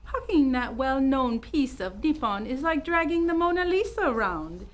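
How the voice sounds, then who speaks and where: A woman's voice: sits high, lively intonation, normal speed. A woman playing a worldly, gossipy businesswoman in an old-time radio detective play, with a knowing, wisecracking delivery. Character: worldly gossipy businesswoman Madame Chang, salon owner.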